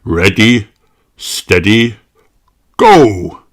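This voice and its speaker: Man doing a hearty, slow-talking cartoon daddy pig. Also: lowish voice, expressive, vocal fry, exaggerated voice. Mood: reassuring. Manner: hearty, drawn-out delivery. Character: jolly, encouraging cartoon father pig, adult male.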